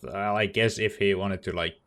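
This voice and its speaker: A man's voice: fairly low pitch, steady tone, normal speed, a bit bright. A man giving casual, offhand commentary as the host of a video game let's play.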